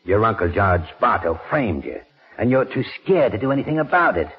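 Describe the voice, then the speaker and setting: A man's voice: on the low side, very animated, a little fast. An actor playing a cynical, ruthless convict in a 1940s radio crime drama, with slangy, goading tough-guy talk. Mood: sly, needling. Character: cynical, ruthless male convict plotting a jailbreak. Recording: dull lo-fi 1940s radio recording.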